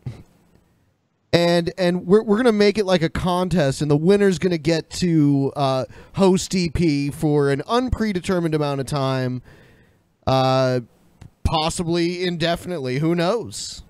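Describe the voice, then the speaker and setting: A man's voice: fairly high pitch, measured pace, a little mellow, clean tone, dry recording. A man co-hosting a podcast, chatting casually in an informal, rambling way. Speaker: podcast co-host.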